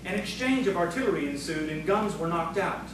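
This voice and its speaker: Guy acting a calm scholarly storyteller man, bit high pitched, knowing and measured, noisy radio play recording. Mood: grave. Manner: measured, knowing, gravely cautioning. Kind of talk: audio theatre acting. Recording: very echoey, distant, noisy room.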